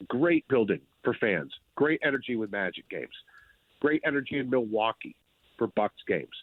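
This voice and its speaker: A man's voice: medium pitch, no room sound. A man speaking as a sports writer guest, giving conversational sports commentary in an emphatic way, repeating phrases for effect. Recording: thin phone-like line.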